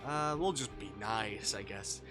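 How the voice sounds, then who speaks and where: A male streamer reading a visual novel aloud dramatically, with expressive, exasperated delivery and asides of his own. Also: on the low side, unhurried. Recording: faint music behind the voice.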